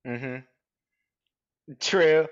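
A young man with a clearly high voice chats casually as a podcast co-host. He is teasing and slangy, needling in a mock-combative way. The recording is a bit dull and lo-fi. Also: drawn-out words, slight background noise. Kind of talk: casual banter.

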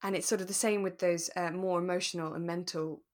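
Woman, podcast co-host, lowish pitch, relaxed discussion, tentative thoughtful delivery. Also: brisk.

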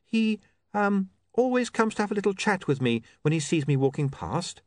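A man's voice: high-pitched, big pitch swings, moderate pace, no echo, clean studio sound, voice pushed up. A man voicing a shy, proper young woman who is eager but nervous, with a modest, hesitant manner.

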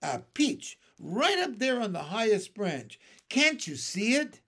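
A man voicing a grim, tall and bony old aunt who is harsh and bossy, with a shrill, shouting voice. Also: very animated, slow pace, full character voice. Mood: commanding.

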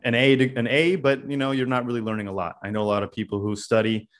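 A young man from California, a podcast guest telling his story in a loose, casual way with many pauses and "uh"s. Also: mid-range voice, fairly even intonation. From California, USA. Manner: loose, thinks aloud with many "uh"s.

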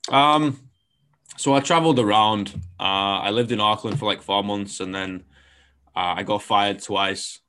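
A man's voice: lowish voice, fairly even intonation, measured pace, pushed and bright, clear, resonant tone. A young man hosting a casual interview, talking frankly and rambling with lots of fillers and the odd swear word. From outside New Zealand. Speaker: podcast host.

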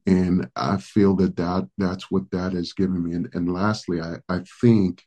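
A middle-aged pastor from the Dominican Republic, a man whose first language is Spanish, talks in a low, husky voice. He answers earnestly, stopping often to gather his thoughts. His speech has an unhurried interview-guest flow. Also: level tone, warm tone. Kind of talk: interview guest, reflective storytelling.

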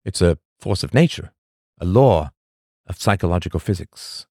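A man hosting a podcast, reading a scripted monologue full of rhetorical questions and emphatic pauses. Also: deep voice, lively intonation, darkish tone, no room sound, clean studio sound. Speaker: podcast host.

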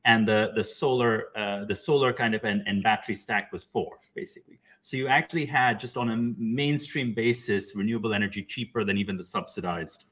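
Husky low guy from pakistan chatting on a panel, wanders into asides. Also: brisk, hardly any pauses, a bit bright. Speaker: panel speaker. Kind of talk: conversational panel discussion.

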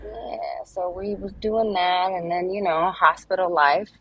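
A woman's voice: on the low side, fairly even intonation, measured pace, fairly bright tone, slightly rough, highs cut off. A woman co-hosting a casual podcast chat, tired from hospital work, laid-back and slangy. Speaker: podcast co-host, hospital worker.

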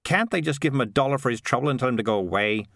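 A man's voice: mid-range voice, animated, racing, fairly bright tone, no room sound, clean studio sound. A man voicing Morris, a cynical streetwise male cat who talks in a wry, grumbling, sarcastic way.

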